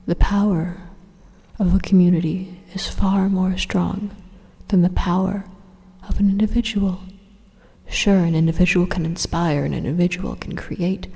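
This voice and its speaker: Drenched in long reverb, an evil supercomputer played by a woman speaks in a very breathy half-whisper. It is slow and deliberate, a calmly menacing machine coaxing its listener in an ASMR roleplay. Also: fairly low pitch. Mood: calmly persuasive. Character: evil robot supercomputer, coolly persuasive, utopian zealot.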